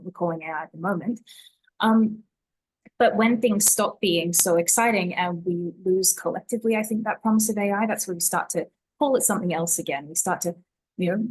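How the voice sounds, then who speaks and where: A woman with a fairly low, husky voice speaks as a panelist. She explains things conversationally, working through examples in an informal discussion. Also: rough recording.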